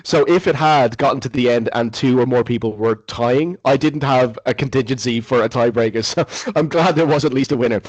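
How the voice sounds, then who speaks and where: A man's voice: mid-range voice, no room sound, highs cut off. Man hosting a football quiz podcast. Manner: measured, reads questions slowly, frequent ums and uhs. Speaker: quiz host.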